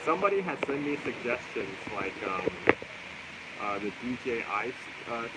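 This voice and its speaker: A man with a loud voice hosting a casual outdoor livestream from a roadside cafe, holding the phone camera himself. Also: medium pitch, sing-song, normal speed, heavy background noise. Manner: loud voice, chatty and easygoing. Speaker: livestream host. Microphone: handheld phone camera.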